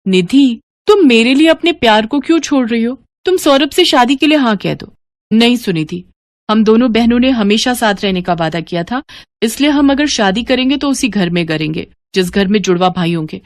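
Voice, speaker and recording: A woman's voice: on the high side, lively intonation, moderate pace, no room sound. A woman playing an earnest, devoted young twin sister in a moral story. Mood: earnest pleading.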